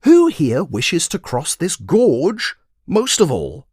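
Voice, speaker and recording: High-pitched scatterbrained wizard. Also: male voice, wildly swooping pitch, darkish tone, breathy edge, no room sound, studio quality, heavily acted. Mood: airily grandiose. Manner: whimsical, rambling, theatrical pauses. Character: scatterbrained, smug, shaggy old male wizard.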